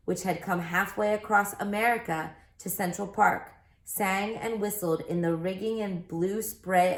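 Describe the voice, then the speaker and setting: A woman reading a children's book aloud as an expressive storyteller, recorded in an echoey room. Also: medium pitch.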